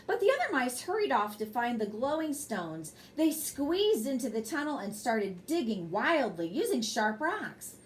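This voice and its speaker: A woman's voice: a little high, sing-song, measured pace, few pauses, a little hiss or hum. A woman reading a children's bedtime story aloud expressively, with brief asides to her young listeners. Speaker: storybook reader and host.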